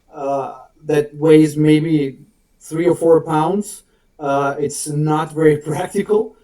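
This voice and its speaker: A man's voice: fairly high pitch, mellow. A man from Portugal with a Portuguese accent and Portuguese as his first language, giving a friendly, self-deprecating guest presentation and laughing easily. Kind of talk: online presentation. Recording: echoey room, distant from mic.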